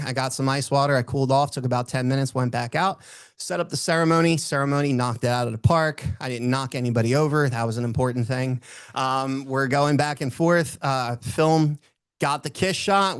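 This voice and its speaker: A man with a booming voice speaking as a motivational speaker, telling personal stories and repeating phrases for emphasis. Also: no echo, studio quality. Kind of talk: motivational talk with personal storytelling.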